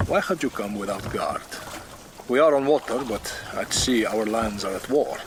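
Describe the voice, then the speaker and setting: A man acts a Spanish-accented ambassador in a period radio drama. His voice is fairly low and he speaks with courtly, deliberate persuasion. Noisy water ambience sits behind him. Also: close to an everyday voice.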